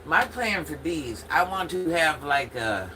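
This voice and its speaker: Older woman, deep and forceful voice, stuffy from allergies, chatty livestream host. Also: sing-song, measured pace, slight background noise. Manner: chatty, talks straight to viewers. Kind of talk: casual livestream chat.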